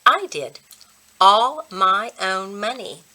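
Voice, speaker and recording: A woman voicing a boastful, excited fourth-grade boy in a children's book read-aloud. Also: mid-range voice, takes it slowly, poor audio. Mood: defiant. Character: fourth-grade boy bragging about his new game console.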